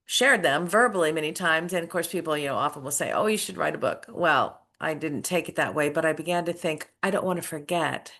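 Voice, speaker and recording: A woman's voice: low voice, brisk, few pauses, a bit bright. A middle-aged woman, an author and former school principal, telling her story earnestly in a casual interview. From United States.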